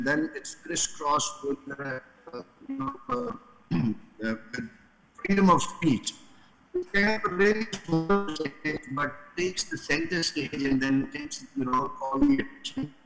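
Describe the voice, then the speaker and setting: A man on a conference panel, talking haltingly with many fillers and restarts, from Pakistan. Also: a little high, animated, creaky, a bit bright, faint music behind. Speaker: panel speaker. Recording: reverberant conference hall.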